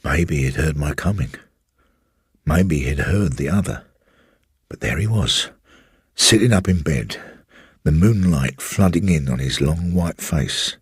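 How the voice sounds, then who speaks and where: A man voicing a sly, brooding elderly former servant with a rustic working-class English accent, telling his tale slowly and insinuatingly in a husky voice. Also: extremely low pitch, lively intonation, no room sound, clean studio sound, full character voice. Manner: slow, insinuating, colloquial storytelling. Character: sly elderly former manservant, evasive and brooding, recounting a haunting.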